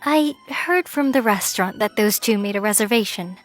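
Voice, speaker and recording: A woman's voice: mid-range voice, animated, normal speed, soft background music, lightly acted. A woman playing a composed, loyal young female employee in a manga story dub, polite, matter-of-fact and encouraging. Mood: calm, smug.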